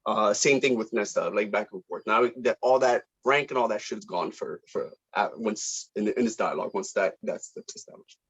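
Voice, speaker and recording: A man's voice: fairly low pitch, normal speed, hardly any pauses, slightly rough, low-quality recording. A man working as a director, casually thinking aloud as he gives informal notes to actors.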